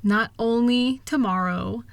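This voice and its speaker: A woman playing a loving, reassuring wife in an intimate comfort roleplay, speaking in a gentle, soothing, affectionate way. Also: on the high side, takes it slowly, vocal fry, no echo. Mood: tender, reassuring. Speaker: audio roleplay voice performer.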